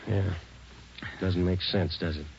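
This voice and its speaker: A man's voice: deep voice, fairly even intonation, slow pace, vocal fry, slight background noise, heavily acted. A man playing a terse, hard-nosed police detective in a 1940s radio drama, clipped and commanding. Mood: grim. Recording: muffled old radio recording, loud music behind.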